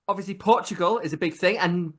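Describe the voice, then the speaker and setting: A man's voice: high voice, quick pace, a bit bright. A man hosting a casual video-call cooking chat, joking about himself and laughing a lot. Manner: chatty, laughs often, self-deprecating. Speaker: host.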